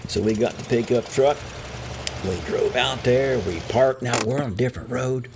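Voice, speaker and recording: A man's voice: mid-range voice, normal speed, background noise. An older man from West Virginia with an Appalachian accent, telling a true story casually in a folksy, wry way. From West Virginia, USA. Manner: folksy, wry, chuckling asides. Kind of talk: casual first-person storytelling. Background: music.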